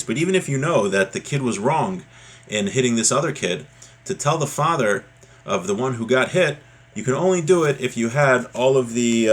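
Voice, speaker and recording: A man's voice: lowish voice, fairly bright tone. A man giving an informal religious lesson as a teacher, explaining in a conversational way. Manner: conversational, explanatory, checks in with "right?". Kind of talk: informal religious lesson, reading a text aloud then explaining it.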